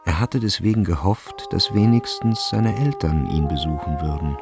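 A man narrating a literary radio drama from a script, measured and precise in his storytelling. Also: low-pitched, over music.

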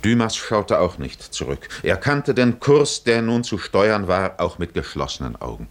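A man as the solemn, poetic narrator of a 1950s German radio drama, measured and dramatic. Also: sits low, sing-song. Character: solemn poetic storyteller of a sea voyage.

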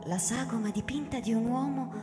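A woman narrator giving a measured, theatrical literary reading for radio drama. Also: on the high side. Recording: echoey hall reverb, music underneath. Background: music.

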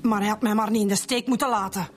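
A woman's voice: mid-range voice, steady tone, fast talker, faint music behind, natural voice. A woman playing a brash, sarcastic Flemish mother. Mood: self-pitying, bitter. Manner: blunt, grumbling, colloquial. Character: brash, callous middle-aged mother who drinks, sarcastic and self-pitying. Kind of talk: radio drama acting.

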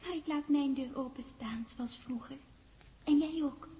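An actress playing a gentle, eerie young woman in a 1960s Dutch radio play, hushed and dreamy, often slipping into a whisper. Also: sits high, unhurried, slight background noise. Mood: soothing, dreamy. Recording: 1961 radio recording, music behind.

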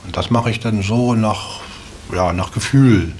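A man's voice: on the low side, a little slow, a little mellow. A man talking casually and reflectively about himself, hesitantly thinking as he speaks. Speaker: interviewee. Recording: clear background noise, ambience.

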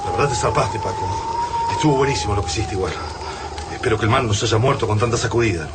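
An actor playing an alarmed, loyal comrade in battle, urgent and colloquial, with an Argentine accent, in a radio drama. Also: male voice, lowish voice, fast, close to an everyday voice. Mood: scolding, relieved. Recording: noisy battle scene sound effects.

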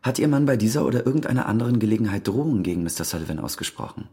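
An actor playing a formal, probing police detective questioning suspects in a radio crime drama, with a husky, gravelly voice. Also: male voice, fairly low pitch, lively intonation, racing, mellow. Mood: insistent.

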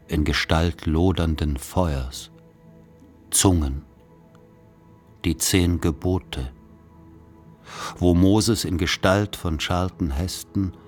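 Radio drama narrator: wry man recalling boyhood, very deep, unhurried, measured and solemn. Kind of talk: literary radio play narration.

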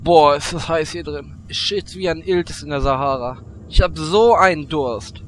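An actor playing a lecherous, scheming adventurer in a comic audio drama, wheedling and grumbling. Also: male voice, on the high side, normal speed, a little hiss or hum, lightly acted, poor audio.

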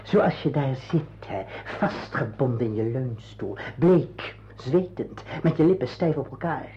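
A man's voice: mid-range voice, animated, a little slow, natural voice. An actor playing a sinister, commanding tormentor in a radio play, mocking and falsely soothing as he poses as a surgeon. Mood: scornful. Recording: dull, noisy old radio drama recording.

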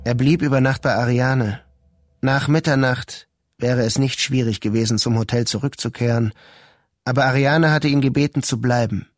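A man's voice: medium pitch, steady tone, moderate pace, no echo. A man narrating a radio drama in a literary, scripted reading style. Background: music.

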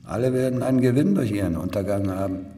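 An actor playing a weary, cynical old spy in a German radio drama, sighing and speaking in a deliberate, knowing way. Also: male voice, fairly low pitch, lively intonation, mellow, a little hiss or hum. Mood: weary, explanatory.

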